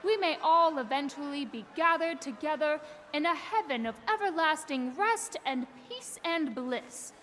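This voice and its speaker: An actress playing a female stage singer who gives soft, wry patter before singing an old-time ragtime song. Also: high voice, lively intonation, a little slow, slight background noise. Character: female roadside singer turned stage performer. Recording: with loud piano backing.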